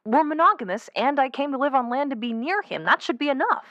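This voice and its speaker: A woman's voice: high-pitched, expressive, fast talker, fairly bright tone, no echo, highs cut off. A woman playing a troubled mermaid calling a radio advice show in an audio drama, conversational, candid and a little hesitant. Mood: hurt, insistent. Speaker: caller. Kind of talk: naturalistic audio drama phone-in.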